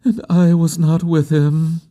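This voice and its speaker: Man voicing a grieving, high-pitched devoted woman. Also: level tone, takes it slowly, warm tone. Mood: low, bitter. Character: grieving, devoted woman in mourning, pleading and intense. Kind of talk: dramatic literary audiobook character acting.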